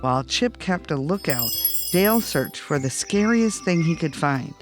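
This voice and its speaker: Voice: medium pitch, animated, moderate pace, over music. A storyteller reading a scripted children's bedtime story.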